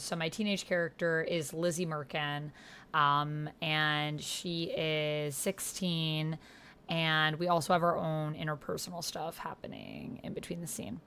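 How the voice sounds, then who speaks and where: Woman with a lowish voice, casual stream chat about her rpg, kinda thinking out loud. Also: slight background noise. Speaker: livestream host and tabletop player. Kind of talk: casual livestream chat, reading out typed roleplay.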